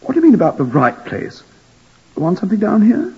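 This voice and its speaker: A man's voice: high-pitched, sing-song, brisk, gravelly, husky, full character voice. A man playing a brusque, fussy hotel manager, in 1940s radio acting style. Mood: brisk. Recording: distant, reverberant lo-fi radio recording.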